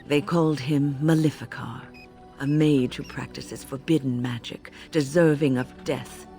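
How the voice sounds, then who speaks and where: An actress playing an older female mage mentor in a fantasy game, measured and confessional, full of grave regret and compassion. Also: rumbling low, steady tone, mellow, soft background music. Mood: grieving, remorseful. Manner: measured, reflective, confessional, emotive. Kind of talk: dramatic scripted game dialogue, storytelling.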